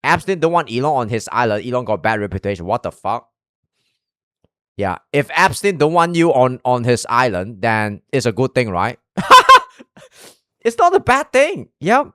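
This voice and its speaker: A man's voice: medium pitch, very animated, normal speed, fairly bright tone, dry recording, clean studio sound. A young man from Malaysia with a Malaysian English accent, reacting to a video on stream in a rambling, casual way with frequent swearing and restarts.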